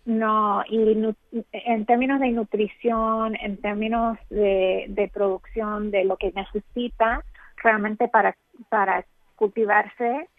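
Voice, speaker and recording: A woman's voice: mid-range voice, steady tone, slow, dry recording. A woman professor interviewed by phone, explaining patiently and sometimes searching for words. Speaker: guest expert, professor. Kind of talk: radio interview over the phone. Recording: tinny phone line.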